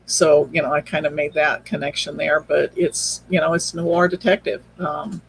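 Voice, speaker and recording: A woman's voice: low voice, steady tone, moderate pace, gravelly, low-quality recording. Woman explaining hesitantly, faint noise behind. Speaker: podcast guest, audio drama creator. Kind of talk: casual interview chat.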